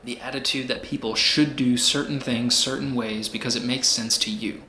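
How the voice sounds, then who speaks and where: A man playing a smug, philosophizing tycoon running for president in an audio drama, measured and condescending. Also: medium pitch, steady tone, bright, forceful tone, reverberant, a little hiss or hum, natural voice, rough recording. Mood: persuasive, commanding. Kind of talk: amateur audio drama acting.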